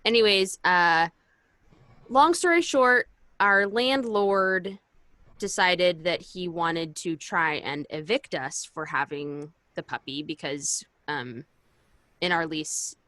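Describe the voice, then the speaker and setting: A woman's voice: lowish voice, slow pace, sharp tone, no echo. A woman co-hosting a podcast, telling stories in a casual, playfully understated way. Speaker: podcast co-host. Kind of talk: casual chat and storytelling.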